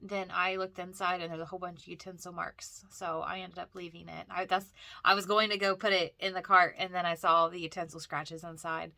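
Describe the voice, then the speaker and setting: A woman's voice: fairly low pitch, little pitch movement, brisk, vocal fry, pushed and bright, no echo. A woman with a cold and a stuffy, nasal voice, chatting casually as she narrates a walk through a thrift store. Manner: chatty, rambling, frequent fillers and restarts.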